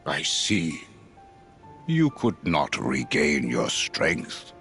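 An actor playing a stern old wizard master in a video game, gravelly, grave and formal. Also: male voice, a bass voice, huge pitch swings, drawn-out words, fairly bright tone, soft background music, full character voice. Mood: solemn. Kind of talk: video game cutscene acting.

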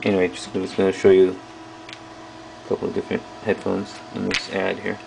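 A man giving a casual hands-on product review, speaking haltingly in short pieces as he handles things. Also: sits low, monotone, creaky, background noise. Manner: halting, piecemeal delivery while handling items. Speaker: video host reviewing old electronics. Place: small indoor workroom at a bench.

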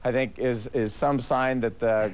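A middle-aged man with a smooth, resonant, mid-range voice speaks from a remote studio. He talks calmly and measuredly. It sounds like a TV interview feed. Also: mid-range voice, unhurried. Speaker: governor, remote guest. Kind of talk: political commentary.

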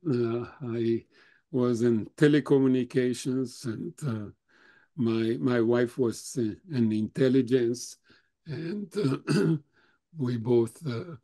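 An older man whose first language is German, slowly answering questions at a remembrance talk with many halting pauses. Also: medium pitch, dark tone. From Europe; grew up speaking German, Russian, Romanian. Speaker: Holocaust survivor guest speaker.

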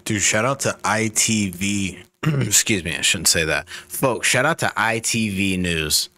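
A man's voice: fairly low pitch, expressive, a little slow, bright, forceful tone. A man giving casual commentary as a reaction streamer, speaking close to the mic.

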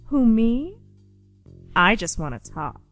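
A woman playing a calm, scary captor in a radio play, composed and politely menacing. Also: on the high side, slow pace, faint music behind. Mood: coolly polite.

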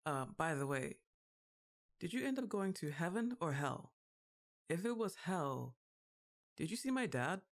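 A woman playing a goth giantess witch girlfriend in an ASMR roleplay, exasperated but fond, with a casual, wry, hesitant delivery. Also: low voice.

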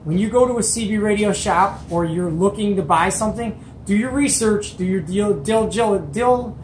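A man's voice: high voice, normal speed, darkish tone. Middle-aged male hobby host, chatty emphatic storyteller, recorded in an echoey small room with some background noise. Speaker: host of a CB radio show. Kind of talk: casual storytelling to camera. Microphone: camera or phone at arm's length. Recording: roomy echo, background noise.

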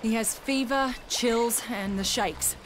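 A woman's voice: fairly high pitch, takes it slowly, background noise. An actress playing a tough, impatient woman survivor in a video game, blunt, direct and exasperated. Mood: urgent, businesslike. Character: tough, foul-mouthed, impatient female survivor. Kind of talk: video game cutscene acting.

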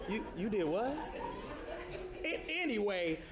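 A man's voice: sits high, very animated, slow, crowd noise, heavily acted. A man playing a sassy, gossipy, dramatic hotel worker in a stage comedy, projecting his exclamations broadly. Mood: excited. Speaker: stage actor. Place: indoor theater stage. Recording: echoey theater stage with audience.

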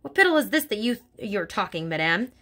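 A woman's voice: medium pitch, very animated, brisk, a bit bright. A woman reading aloud voices a bullying, hulking headmistress who barks, snorts and speaks with open contempt. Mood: bellowing scorn.

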